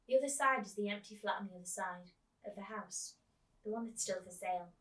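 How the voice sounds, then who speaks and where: A woman's voice: medium pitch, a little fast, fairly bright tone, close to an everyday voice. Woman voicing a dismissive, practical mother, echoey. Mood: dismissive, explaining. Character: matter-of-fact, dismissive storybook mother. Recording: roomy echo, distant microphone.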